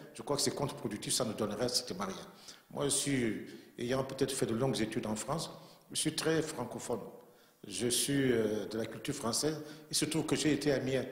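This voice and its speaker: A man giving formal, earnest remarks as a panelist at a conference, from Central Africa. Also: mid-range voice. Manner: earnest, emphatic, repeats himself for weight. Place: conference room in a bank. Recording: echoey conference hall.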